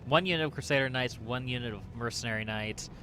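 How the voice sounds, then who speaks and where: A man's voice: medium pitch, quick pace, bright, forceful tone, low-quality recording. A man giving casual let's play commentary on a video game, thinking aloud in a wry, resigned way. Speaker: gaming channel host.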